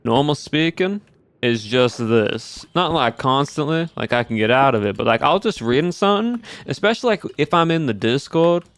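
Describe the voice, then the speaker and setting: Male game streamer, mid-pitched and clear-voiced, affected US Southern accent, lax drawl, casual chat. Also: lively intonation, moderate pace, fairly bright tone. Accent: put-on US Southern. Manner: lax Southern drawl, draws out sentence endings. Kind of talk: casual gameplay livestream chat.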